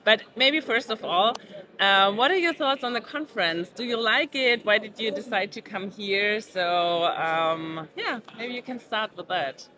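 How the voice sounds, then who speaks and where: A woman's voice: medium pitch, unhurried, pushed and bright. A woman in her thirties hosting a podcast interview in a casual, chatty way, leaning on words like "super" and "like". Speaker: podcast host. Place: busy indoor conference venue. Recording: conference crowd chatter behind.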